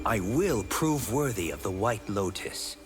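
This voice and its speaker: An actor playing an eager, respectful young monk pupil in a fighting video game, sincere in manner. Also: male voice, on the low side, lively intonation, unhurried, loud music behind the voice.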